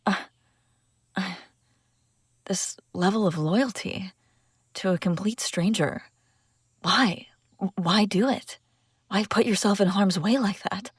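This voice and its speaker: A commanding fantasy princess on the run, acted by a woman, speaks directly to the listener. Her delivery is expressive and theatrical, wry and incredulous, at a medium pitch. The sound is close and dry, as in an immersive audio roleplay. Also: takes it slowly, pauses often, breathy edge, no room sound. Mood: moved, hushed. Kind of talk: immersive audio roleplay acting, one side of a dialogue.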